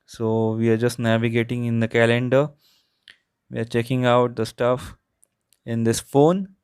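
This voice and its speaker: Male tech reviewer, Indian accent, smooth clear voice, close dry sound, explanatory walkthrough delivery. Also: medium pitch, flat delivery, measured pace, darkish tone, dry recording. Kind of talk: product review narration, unscripted walkthrough.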